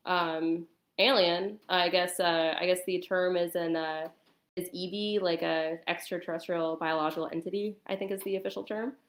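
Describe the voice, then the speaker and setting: A woman chatting casually and explaining things in a hesitant, halting way, with a roomy echo on the recording. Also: on the low side. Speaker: guest on a talk stream. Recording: roomy, echoing sound.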